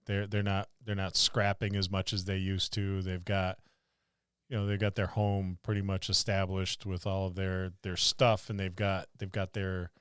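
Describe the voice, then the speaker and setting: A man co-hosting a podcast, talking casually about tabletop gaming in short, considered phrases with pauses. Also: deep voice, little pitch movement, fairly bright tone, clean tone, no echo, clean studio sound. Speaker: podcast co-host.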